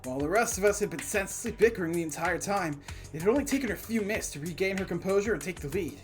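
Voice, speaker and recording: A woman streamer reading a visual novel aloud in an expressive storytelling way, with casual reactions. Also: fairly low pitch, very fast. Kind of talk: playthrough narration with casual reactions. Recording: game music behind the voice.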